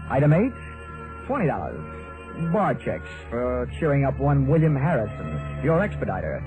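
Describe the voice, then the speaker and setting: A man's voice: fairly high pitch, close to an everyday voice, low-quality recording. An American man acting a wry, hard-boiled insurance investigator in an old-time radio detective drama. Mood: dry narration. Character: wisecracking, tough male insurance investigator. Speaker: lead actor and narrator. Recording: old radio broadcast with music.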